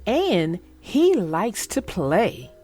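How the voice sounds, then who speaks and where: A woman children's book narrator speaking expressively and deliberately, as if to young children. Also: medium pitch, huge pitch swings, mellow, soft background music. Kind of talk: storybook read-aloud and casual chat.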